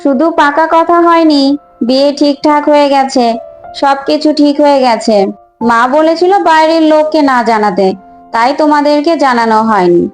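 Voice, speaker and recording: A woman voicing a sharp-tongued, scheming eldest sister-in-law in a Bengali family cartoon. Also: high-pitched, normal speed, soft background music, natural voice. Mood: scornful, indignant.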